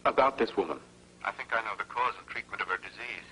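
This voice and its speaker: Casting: grave scientist-physician for vintage B-movie, measured, formal, bright-edged low voice. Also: male voice, poor audio. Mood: clinical. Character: grave, guarded male doctor and blood researcher. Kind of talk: 1950s B-movie acting. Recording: old film audio with faint hum.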